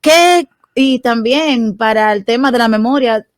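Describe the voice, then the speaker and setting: A Dominican woman guest on a radio show speaks in a fairly high voice. The sound is dry and close to the mic, and she backs others up with short affirmations. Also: unhurried, dry recording. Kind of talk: radio interview.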